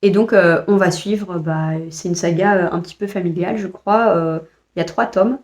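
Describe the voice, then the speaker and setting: A woman's voice: on the low side, steady tone, normal speed, darkish tone. A French woman vlogger chatting casually about books she bought, hesitant with frequent fillers and restarts. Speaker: book vlogger. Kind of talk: casual book haul chat.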